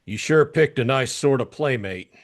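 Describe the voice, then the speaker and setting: A man's voice: medium pitch, expressive, moderate pace, lightly acted. A man acting an irritable, blunt hard-boiled private detective in an audiobook drama. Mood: dry, probing. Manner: blunt, impatient.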